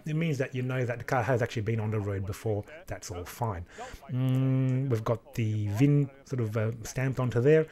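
A man in his thirties with an Australian accent, casually talking through car auction listings on a home livestream in a small room. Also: medium pitch. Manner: relaxed, chatty, rambling with many fillers. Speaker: car enthusiast streamer.

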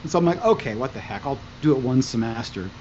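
A middle-aged man whose first language is Italian, telling stories as a podcast guest over a video call from home, talking in an animated way. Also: mid-range voice, quick pace, highs cut off, a little hiss or hum. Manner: animated, gestures while talking. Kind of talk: conversational storytelling. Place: room at home, indoors. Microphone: video call, wearing headphones.

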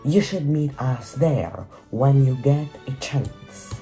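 A man voicing an excitable middle-aged father, an archaeologist in a game, with a strange put-on accent. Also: mid-range voice, slow, faint music behind. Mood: urgent. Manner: odd put-on foreign-sounding accent.

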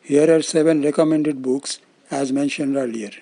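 An older man, around his seventies, with an Indian accent, giving scripted explanatory narration in formal, measured phrasing. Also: fairly high pitch, fairly even intonation, vocal fry, over music. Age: older, around 70s. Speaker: presenter of loudspeaker-making videos.

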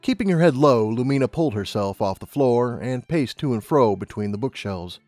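A man's voice: medium pitch, lively intonation, moderate pace, a little mellow, no room sound, soft background music. Male story narrator, close and dry. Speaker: audiobook narrator.

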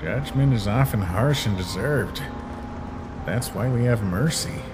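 A tired, protective skeleton monster acted by a man in a dramatic comic dub. He talks slowly and haltingly with a resigned air, his pitch moving freely, over clear background noise. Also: animated. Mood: somber, resigned. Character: weary, guilt-ridden male skeleton monster, protective and gentle.

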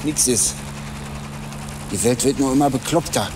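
An actor playing a chatty, self-satisfied local police detective in a naturalistic radio crime drama, talking colloquially. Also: male voice, medium pitch, a little slow, distant mic, background noise, close to an everyday voice. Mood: weary.

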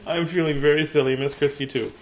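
A man's voice: on the high side, steady tone, quick pace, low-quality recording. A middle-aged man chatting casually and playfully as he reads bedtime stories aloud to children. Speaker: children's bedtime story reader. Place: small cluttered room indoors.

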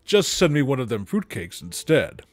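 A man voicing a gruff, gloomy giant of a bootlegger in a story reading, blunt in manner. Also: mid-range voice, very animated, normal speed. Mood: softened.

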